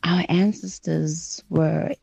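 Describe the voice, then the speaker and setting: A woman's voice: on the low side, steady tone, takes it slowly, vocal fry, a little mellow, slightly rough, no room sound. A woman from South Africa leaving a voice note for a radio show, speaking thoughtfully with hesitant fillers, recorded on a phone. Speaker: caller sending a voice note. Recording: slightly dull phone voice note.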